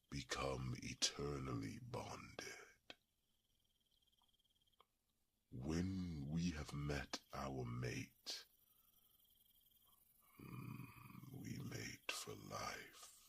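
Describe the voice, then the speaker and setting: A man playing an ancient, grieving male dragon in an ASMR fantasy roleplay, speaking in a very deep, gravelly, breathy whisper with halting, deliberate phrases. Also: exaggerated voice. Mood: solemn, tender. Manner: slow, deliberate, halting phrases with long pauses.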